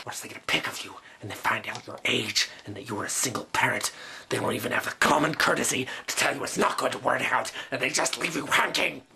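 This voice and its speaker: Man acting a seething, breathy bitter single dad. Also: mid-range voice, bright, forceful tone, heavily acted. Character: bitter, fed-up single parent rejected by women.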